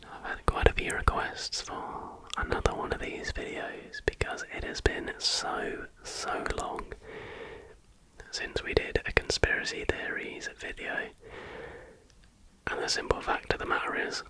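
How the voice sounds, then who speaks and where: Whispered ASMR, breathy, slow-paced, chatty, informal host. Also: low-quality recording. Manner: chatty, informal, joking asides.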